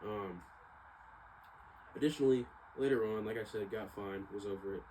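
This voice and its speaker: A young man with a fairly low voice talks through his thoughts in a casual vlog style. His speech is slangy and loose, circling back over points and trailing off. Noticeable ambient noise sits behind him throughout. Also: normal speed, dark tone. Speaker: vlogger. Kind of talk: casual rambling personal monologue. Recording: clearly noisy background ambience.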